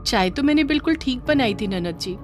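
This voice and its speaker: A woman's voice: high voice, lively intonation, fairly quick, soft background music, pitched above the performer's own voice. A woman voicing a meek young daughter-in-law in a moral story, polite, deferential and apologetic. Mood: hurt, apologetic. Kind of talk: acted dialogue in a moral story.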